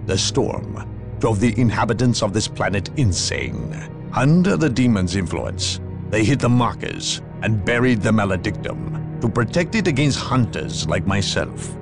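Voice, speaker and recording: Haughty, dramatic inquisitor. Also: male voice, on the low side, sing-song, music in the background. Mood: grave, ominous. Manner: portentous, measured, theatrical. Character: grave, haughty inquisitor, a zealous hunter of evil. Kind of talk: dramatic video game cutscene acting.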